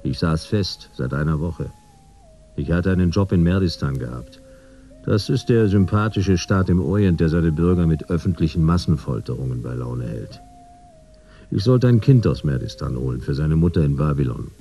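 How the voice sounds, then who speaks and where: Broke hard-boiled detective, dark mellow tone, lively inflection, dry wit, radio drama narration. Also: male voice, rumbling low, normal speed, faint music behind. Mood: sardonic narration. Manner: laconic, dry, sardonic. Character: weary, sardonic male private detective, broke and stranded. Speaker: narrator and lead character.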